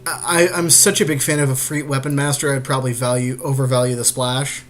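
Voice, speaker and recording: Informal man with a medium-pitched voice. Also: flat delivery, brisk, a bit bright, slight background noise. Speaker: podcast co-host and card game drafter. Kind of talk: casual chat, thinking aloud.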